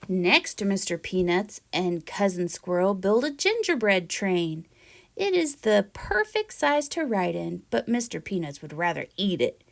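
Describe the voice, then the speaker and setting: Slow-paced woman reading to children. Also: mid-range voice, animated, gravelly. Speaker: read-aloud storyteller, teacher-like presenter. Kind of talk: expressive children's picture book read-aloud.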